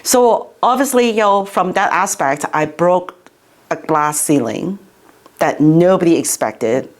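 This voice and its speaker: A woman's voice: lowish voice, expressive, measured pace. A middle-aged woman from Hong Kong, a city councillor, answering interview questions in a chatty way with many fillers and restarts.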